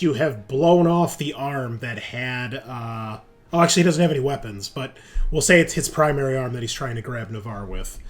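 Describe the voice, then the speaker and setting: A man talking casually as game master, explaining rules and narrating the action of a tabletop game. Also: medium pitch.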